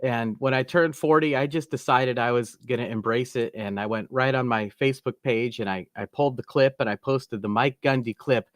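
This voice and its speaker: A man's voice: medium pitch, brisk, few pauses, dry recording, clean studio sound. A man in his forties, a podcast guest, chatting genially with a few stammering starts. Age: 40s. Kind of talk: casual chat.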